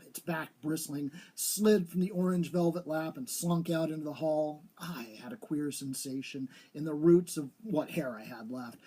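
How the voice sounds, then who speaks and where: Husky, higher-pitched man reading a ghost story. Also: darkish tone. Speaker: story reader. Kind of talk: live dramatic reading of a vintage ghost story.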